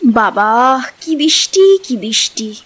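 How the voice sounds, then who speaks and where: A woman's voice: fairly high pitch, sing-song, takes it slowly, a bit bright, heavily acted. A woman playing a timid, apologetic young wife in an audio drama. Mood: dismayed.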